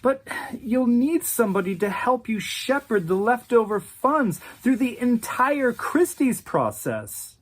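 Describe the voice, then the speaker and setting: A man's voice: extremely high pitch, very animated, measured pace, voice pushed up, full character voice. A man voicing a desperate, furious male art dealer who swears and shrieks in frantic outbursts. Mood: pleading.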